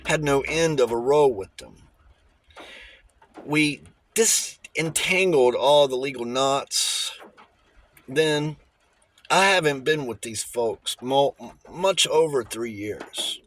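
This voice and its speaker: A man's voice: medium pitch, takes it slowly, a bit bright, a little hiss or hum. A man voicing an offhand, rather weary male client in an audiobook, speaking plainly. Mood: plain, explaining. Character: Hugh, a weary, easily bored male client.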